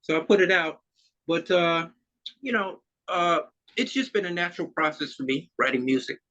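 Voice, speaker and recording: A man's voice: a little high, normal speed, sharp tone, highs cut off. A man in his fifties from Trinidad, a musician guest talking in an easygoing, rambling way with plenty of fillers. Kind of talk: casual interview chat.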